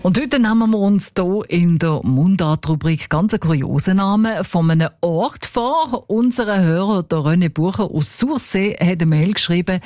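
A woman's voice: lowish voice, darkish tone, no room sound, studio quality. A woman with a Swiss German accent presenting as a radio host.

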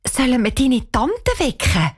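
Eine Frau spricht in einer Hörgeschichte ein ängstliches, schüchternes junges Mädchen mit Schweizer Einschlag, das bang und flehend klingt. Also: female voice, sits high, wildly swooping pitch, measured pace, husky, voice pushed up, exaggerated voice. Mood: timid. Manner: fretful, pleading. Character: Anisa, anxious, timid young girl, easily hurt.

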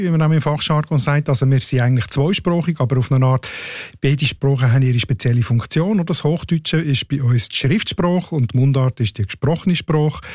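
A man's voice: a little high, darkish tone, no echo. Swiss german guy explaining stuff on the radio, mid voice, keeps saying oder?, dry close sound. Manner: explains patiently, often adds a questioning "oder?". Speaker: language expert on the show.

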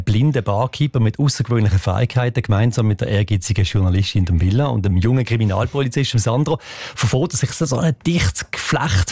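A man with a Swiss German accent and a mid-range voice hosts a morning radio show. He asks questions in a friendly, halting way, full of small fillers. The studio sound is close and dry. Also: medium pitch, darkish tone, dry recording. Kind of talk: casual radio interview.

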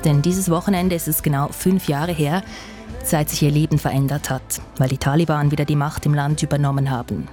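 A woman hosting a news podcast, narrating calmly and reflectively into a studio microphone. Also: sits low, fairly quick, faint music behind. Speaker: podcast host.

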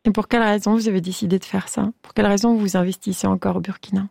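A woman hosting a radio interview, asking questions and reacting quickly. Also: medium pitch, steady tone, vocal fry, a little mellow, dry recording, studio quality. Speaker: radio host.

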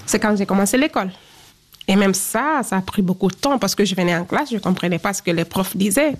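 A woman's voice: medium pitch, expressive, brisk. A woman with a Guinean French accent, giving personal testimony as an interviewee.

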